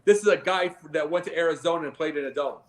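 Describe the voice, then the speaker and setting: A man's voice: fairly high pitch, fast, a crowd in the background. A man on a sports talk panel giving rambling, opinionated takes and repeating his points for emphasis.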